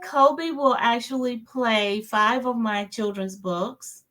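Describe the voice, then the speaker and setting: Female author guest, middle-aged or older, unhurried delivery, higher register, hesitant casual talk. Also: a bit bright. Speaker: interview guest, children's author. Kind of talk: casual chat.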